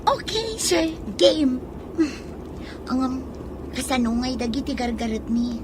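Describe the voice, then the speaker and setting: A woman's voice: high voice, sing-song, slow, background noise, heavily acted. A woman with an Ilocano accent playing a bold, scheming young college girl in a radio comedy drama. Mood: innocently polite.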